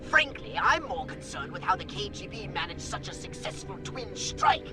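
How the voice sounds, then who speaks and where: An irritable old alien mentor from a video game. The voice sits very high and swoops around with formal, pedantic exasperation. Music plays clearly behind it. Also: male voice, expressive, normal speed. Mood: fussy, lecturing. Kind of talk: video game cutscene acting.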